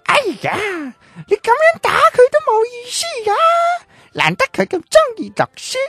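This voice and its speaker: A man's voice: very high voice, wildly swooping pitch, moderate pace, sharp tone, no echo, soft background music, pitched above the performer's own voice, full character voice. A man voicing a protective, pleading mother in a radio drama. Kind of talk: radio drama acting, quoting.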